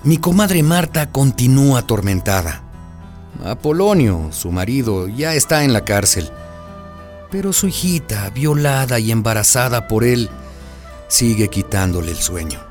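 A man's voice: medium pitch, very animated, unhurried, darkish tone, soft background music. A man playing the storyteller who narrates a radio drama. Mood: solemn. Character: male storyteller narrating neighbourhood troubles.

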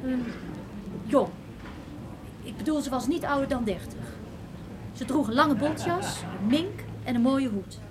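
High-voiced, prickly young cashier. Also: female voice, lively intonation, measured pace, pauses often, a crowd in the background. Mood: curt. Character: young female restaurant cashier, curt, defensive, indignant. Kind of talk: radio play acting. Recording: noisy old radio play recording.

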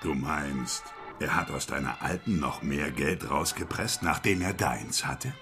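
An actor playing a cynical, streetwise hustler who needles and schemes in slangy talk, in a German radio crime drama. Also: male voice, extremely low pitch, measured pace, music in the background. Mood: probing.

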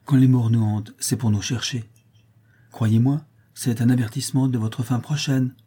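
A man's voice: mid-range voice, fairly even intonation, normal speed, warm tone, breathy edge, voice pushed up, close to an everyday voice. A man voicing a sneering, menacing young nobleman who speaks in a mocking, ominous way.

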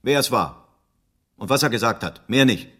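A man's voice: medium pitch, expressive, normal speed, a bit bright, slightly rough. A man playing a tough, persistent private detective in a German crime radio drama, terse and insistent.

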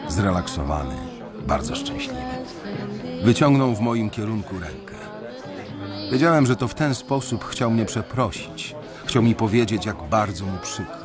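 An actor playing a defiant young man who also narrates his own story in a radio drama. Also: fairly low pitch. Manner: earnest, reflective narration. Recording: radio play with music beneath.

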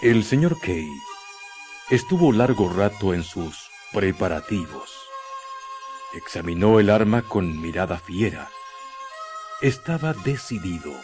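A male narrator reads a scripted radio drama. His voice sits a little low and moves very slowly and dramatically, over a clear music bed. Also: big pitch swings, a little mellow.

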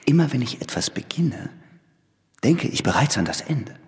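An actor playing an imperious, sardonic master painter in a radio drama, with a pompous, scornful delivery. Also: male voice, mid-range voice, sing-song, normal speed, whispered. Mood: lofty, musing. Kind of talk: German radio drama acting. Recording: long hall reverb. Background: faint music.

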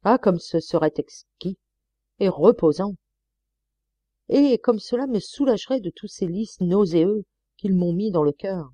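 A woman's voice: mid-range voice, unhurried, dark tone, dry recording, natural voice. A woman playing a peevish, pleading snob of a husband. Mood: weary, disgusted. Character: peevish snobbish middle-aged husband. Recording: slightly muffled dry recording.

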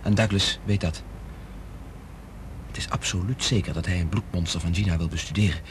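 An actor in a radio drama playing a tense, conscientious doctor with a grave, deliberate delivery. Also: male voice, low-pitched, fairly even intonation, background noise, lightly acted. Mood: grave, resigned. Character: tense, conscientious male doctor, worried accomplice.

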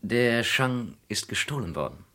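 Low-voiced, courteous investigator. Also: male voice, animated, pushed and bright, full character voice. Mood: courteous, probing. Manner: courteous, deliberate questioning. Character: polite, persistent male insurance investigator. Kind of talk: German radio crime play acting.

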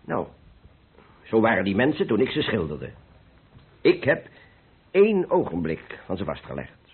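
A gruff but big-hearted old master painter in a radio play. His husky voice swings widely in pitch as he talks bluntly, repeating himself impatiently. The old recording is muffled. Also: wildly swooping pitch, moderate pace, exaggerated voice. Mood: earnest, reflective. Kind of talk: Dutch radio play acting.

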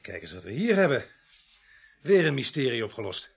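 An actor playing a sardonic, bossy police sergeant in a radio play, brisk and commanding. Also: male voice, medium pitch, very animated, husky. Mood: amused, musing. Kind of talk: radio drama acting.

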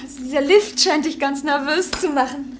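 An actress playing an affectionate young newlywed daughter in a mid-century radio play, soothing yet evasive and brittly cheerful. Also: female voice, high voice, moderate pace, lightly acted. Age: young adult. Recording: noisy vintage radio play recording.

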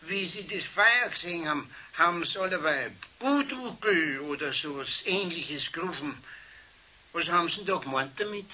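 An actor playing a helpful, nosy neighbour in a 1970s radio play, speaking hesitantly and folksily with a Bavarian accent. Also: male voice, fairly high pitch, expressive, moderate pace, pushed and bright. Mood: helpful, curious. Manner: hesitant, folksy, tagging sentences with questions. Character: helpful, talkative, nosy neighbour man, married. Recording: faintly hissy 1970s radio recording.

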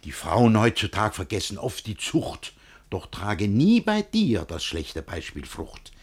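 Male radio-play role: pompous middle-aged guardian, preachy and bossy, husky, very animated pitch, theatrical verse. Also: a little slow, exaggerated voice. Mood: stern, preachy. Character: domineering, self-satisfied older guardian lecturing his young ward. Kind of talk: German radio play acting in rhymed verse.

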